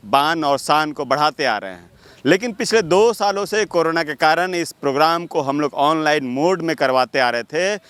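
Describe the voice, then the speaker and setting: Clear-voiced male show host. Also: on the high side, a bit bright, no room sound. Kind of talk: scripted presenting and interviewing.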